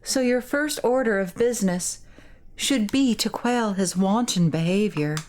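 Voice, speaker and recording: A knowing, composed young woman from a horror game, acted by a woman reading aloud. She speaks in a mid-range voice with formal, measured phrasing and a solemn temper. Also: medium pitch, a little mellow, natural voice. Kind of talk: dramatic read-aloud of game dialogue.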